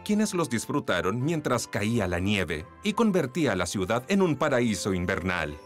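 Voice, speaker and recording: A man's voice: lowish voice, very animated, normal speed, soft background music. A man with a Latin American accent narrating a children's cartoon as a kindly storyteller.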